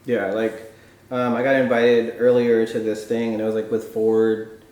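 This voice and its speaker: A man's voice: fairly low pitch, level tone, normal speed, a little mellow, very echoey. A man chatting casually in an interview, rambling through stories with lots of "like". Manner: rambling storyteller, heavy use of "like". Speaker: interviewer, food content creator. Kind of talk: casual conversation.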